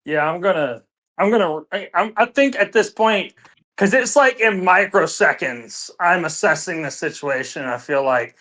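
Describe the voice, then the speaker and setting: A woman's voice: fairly low pitch, lively intonation, normal speed, a bit bright. A woman chatting casually as a player in a tabletop roleplaying game. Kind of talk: casual chat while roleplaying.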